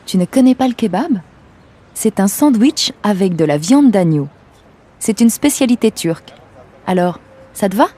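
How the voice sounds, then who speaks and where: An actress playing a brisk, bossy young woman in a clearly enunciated language-lesson dialogue. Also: medium pitch, animated, dark tone, lightly acted. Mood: cheerful, coaxing. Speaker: actor in French language-course dialogues.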